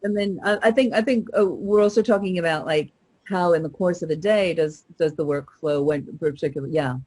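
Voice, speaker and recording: A woman's voice: lowish voice, brisk, darkish tone. A woman hosting, asking questions and summing up in a casual interview style. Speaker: host and voice coach.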